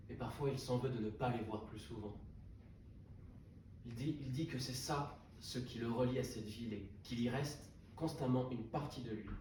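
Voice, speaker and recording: Man reading aloud in an echoey room. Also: mid-range voice. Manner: unhurried reading with frequent hesitations and restarts. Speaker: reader at a book prize reading. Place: salon of a literary hotel. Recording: echoey room, distant mic, background noise.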